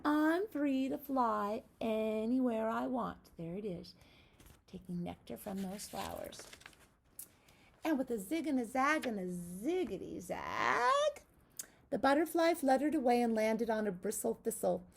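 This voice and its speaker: Woman, high voice, creaky edges, expressive story reading, encouraging teacher. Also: measured pace. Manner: encouraging, addresses children with questions. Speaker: teacher reading aloud to young children. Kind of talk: expressive picture-book read-aloud with asides.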